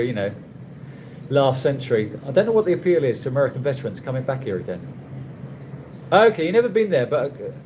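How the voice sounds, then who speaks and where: A man rambles through viewers' questions on a live stream, his voice sitting slightly high for a man. He trips over his own phrases and restarts often, with a dry, wry humor. A faint hum of background noise runs under the recording. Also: darkish tone. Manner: rambling, halting, with many restarts and fillers. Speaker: vlogger answering live chat questions. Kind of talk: casual live-stream chat. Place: condo room.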